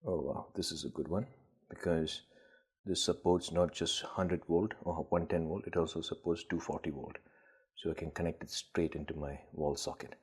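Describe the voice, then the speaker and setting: A man with a husky voice giving casual, tentative unboxing commentary, thinking aloud as host. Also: sits low, fairly even intonation, moderate pace. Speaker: gadget collector and video host.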